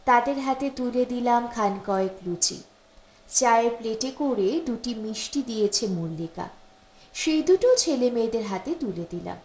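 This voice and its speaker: A woman narrates a literary short story. Her voice sits on the high side, and the recording is very roomy, with clear echo around her expressive reading. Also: moderate pace. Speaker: audiobook narrator.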